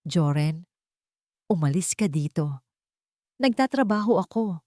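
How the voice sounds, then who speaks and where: A woman's voice: on the low side, expressive, measured pace, warm tone, no room sound, clean studio sound. A woman voicing a frightened, weary waitress in an audio romance story, pleading yet firm with her ex. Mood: weak pleading.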